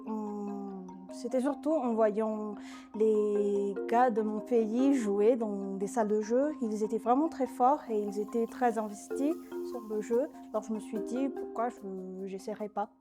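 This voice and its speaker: A woman's voice: a little high, normal speed. A woman from Madagascar, a gamer speaking in a documentary interview. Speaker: competitive gamer. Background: background music.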